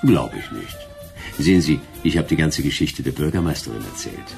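An actor playing a laconic hard-boiled detective in a radio crime drama, with gravelly voice and dry, terse delivery. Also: male voice, a bass voice, animated, normal speed, darkish tone, faint music behind, exaggerated voice. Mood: cool, assured. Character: laconic hard-boiled male detective, wryly narrating and talking.

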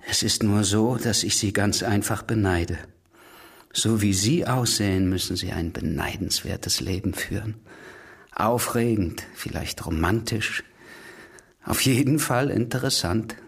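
Husky, weary, courteous older-world gentleman. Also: lowish voice, a little slow, full character voice. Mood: courteous, gently teasing. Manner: formal, courteous, wryly understated. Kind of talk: German radio drama acting.

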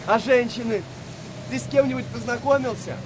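High male voice, frightened young wartime sailor, inquisitive, colloquial, excitable, audio play, noticeable ambient noise. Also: moderate pace. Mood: anxious, curious.

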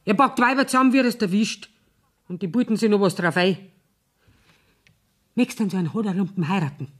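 Radio play: actress as shrewd, blunt Bavarian mother, earthy dialect, mid-pitched voice, always after the money. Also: fast, natural voice. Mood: pushy, scornful. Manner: blunt, colloquial.

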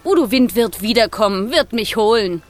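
A woman playing a proud, defiant young fire spirit girl in a children's radio play, impetuous and quick to exclaim. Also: a little high, animated, faint music behind, close to an everyday voice. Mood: defiant, scornful.

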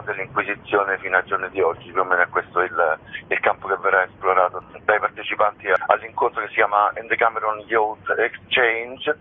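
A man explaining things as a guest in a radio interview, halting as he searches for words. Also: fairly low pitch. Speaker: theatre company guest. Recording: narrow, tinny phone line.

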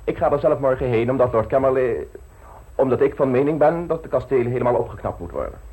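An actor playing a suave, ambitious gentleman politician in an old radio play, polished, self-assured and teasingly frank. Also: medium pitch, fast talker, husky, natural voice, poor audio. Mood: smooth, mysterious.

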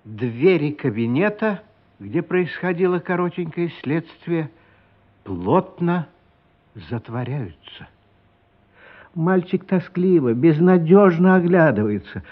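Guy narrating a classic story dramatically, high voice, dull radio sound. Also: sing-song, slow. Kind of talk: dramatic scripted literary narration. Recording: dull lo-fi radio, music behind. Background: music.

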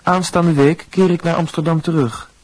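Radio drama: male actor for earnest young medical student, stiffly polite, slightly breathy husk, dry studio sound. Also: fairly high pitch, steady tone, moderate pace, dry recording, close to an everyday voice, low-quality recording. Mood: formal, earnest. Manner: formal, earnest. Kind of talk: letter read aloud in a radio play.

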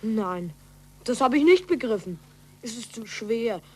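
A woman's voice: fairly high pitch, animated, unhurried, darkish tone, breathy edge. A woman playing an earnest, curious twelve-year-old boy in a 1940s German radio play.

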